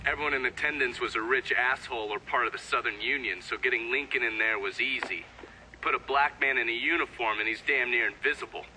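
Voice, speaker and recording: Sardonic cia type testifying in a game, really sharp voice, cusses, slight noise. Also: male voice, mid-range voice, fast, rough recording. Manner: blunt, sardonic, matter-of-fact. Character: cynical, profane male intelligence agent giving testimony.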